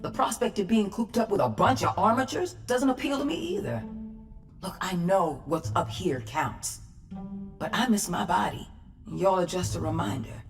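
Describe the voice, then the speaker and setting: Schauspielerin als sardonische Kämpferin, lebhafte Sprachmelodie. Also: female voice, mid-range voice, expressive, normal speed, faint music behind, natural voice. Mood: wistful, frank. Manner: folksy, teasing, dry. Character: sardonic, blunt woman resistance fighter. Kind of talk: video game cutscene acting.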